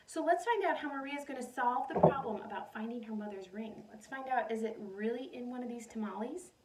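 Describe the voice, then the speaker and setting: A woman with a lightly high voice reads a children's picture book aloud. She is animated and speaks directly to young listeners, slipping in questions and asides like a teacher. The recording sounds a bit distant from the microphone. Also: a little fast, very noisy.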